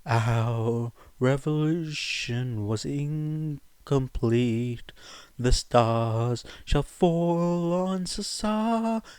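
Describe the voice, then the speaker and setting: A man voicing a defiant young male revolutionary leader in a fan fiction audiobook, with grandiose, drawn-out, theatrical delivery. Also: medium pitch, darkish tone, clear, resonant tone, no echo, voice pushed up. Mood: defiant singing.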